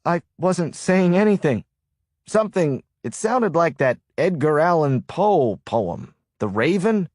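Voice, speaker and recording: A man's voice: a little high, very animated, slow pace, a little mellow, dry recording, studio quality, voice pushed up. Man reading as an anxious, sarcastic fugitive. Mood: defensive. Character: Ben, anxious, sleepless man on the run. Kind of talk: audiobook character dialogue.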